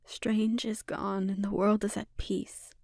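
A woman voicing a calmly dominant, taunting sorceress villain in an intimate ASMR roleplay, speaking deliberately and lapsing into breathy whispers. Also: mid-range voice, no echo, clean studio sound. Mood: intense, resolute.

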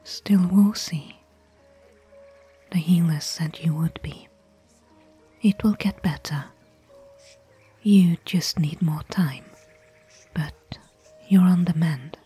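A woman playing a caring, worried fantasy expedition companion in a soft, breathy, intimate ASMR whisper. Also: fairly low pitch, faint music behind, heavily acted. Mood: gentle, reassuring. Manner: intimate, gentle, slow and hushed. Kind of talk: soft-spoken ASMR roleplay.

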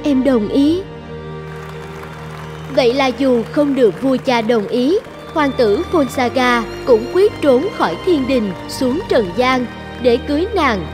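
A woman's voice: on the high side, big-room sound. A woman giving scripted fairy tale narration for a cartoon.

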